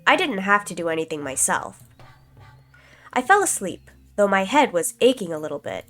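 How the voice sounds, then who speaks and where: Stuffy-nosed woman calmly reading a visual novel. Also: medium pitch, moderate pace, faint music behind. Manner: calm, even-paced narration with casual asides. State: stuffy nose, slight cough. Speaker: streamer.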